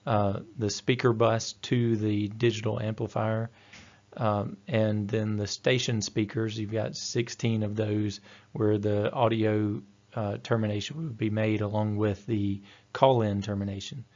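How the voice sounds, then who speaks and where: A man's voice: fairly low pitch, little pitch movement, unhurried, a little mellow. A man giving an instructional technical presentation in a methodical, explanatory manner. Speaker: technical trainer. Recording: dull, lo-fi voice-over audio.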